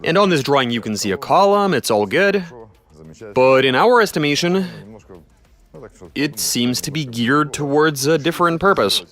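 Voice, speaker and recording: A man's voice: fairly high pitch, lively intonation, moderate pace, pauses often, a bit bright. A man narrating a workshop video in a plain, matter-of-fact way, with small hesitations as he explains each step.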